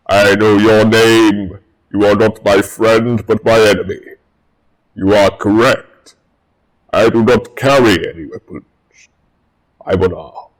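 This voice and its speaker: A very deep-voiced alien war general, slow and heavy in delivery. He is pompous and vengeful, declaiming threats like a proud soldier in a sci-fi audio drama. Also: rough recording. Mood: menacing, booming.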